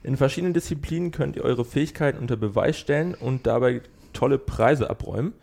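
A man co-hosting a club podcast, reading sponsor and club news in a steady way with small slips. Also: mid-range voice, fast, vocal fry. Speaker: podcast co-host.

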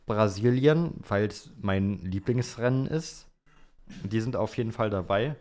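A young man talks in a fairly low voice. He is co-hosting a podcast, answering questions casually and in relaxed, colloquial phrasing. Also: measured pace. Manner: colloquial, slangy. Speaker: podcast co-host.

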